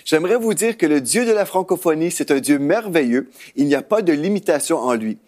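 A man's voice: a little high, animated, fairly quick, darkish tone. A man with a Canadian French accent, a pastor and host teaching in a conversational televised sermon style.

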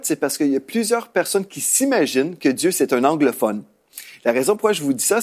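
A man's voice: fairly high pitch, darkish tone. A man with a Canadian French accent, a pastor and host teaching in a conversational televised sermon style.

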